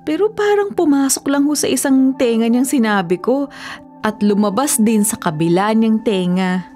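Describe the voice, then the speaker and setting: An actress playing a disapproving grown daughter in a Filipino radio drama, chiding and exasperated in manner. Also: female voice, on the high side, animated, moderate pace, warm tone, faint music behind, natural voice. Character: nagging, disapproving grown daughter scolding her father.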